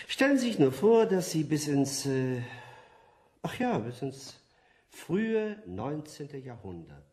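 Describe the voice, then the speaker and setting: An actor playing a polite, formal interviewer who runs an experiment in a radio play, measured and courteous. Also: male voice, a little high, very animated, darkish tone. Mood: reassuring, official. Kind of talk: German radio play acting.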